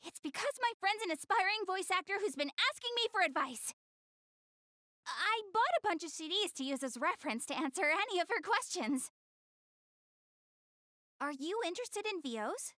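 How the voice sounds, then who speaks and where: Young goddess girl, anime game dub, high voice, animated intonation, proud but easily flustered, dry close recording. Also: normal speed, long pauses, no echo, heavily acted. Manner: expressive, hesitant when covering up embarrassment. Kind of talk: anime game English dub, addressing the player directly.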